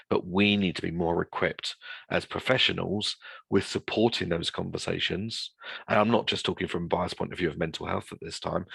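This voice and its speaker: Male webinar presenter: deep, creaky voice, informal, wandering delivery with repeated phrases. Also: fairly bright tone, no room sound. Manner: hedging, discursive, repeats phrases. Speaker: guest presenter on employability.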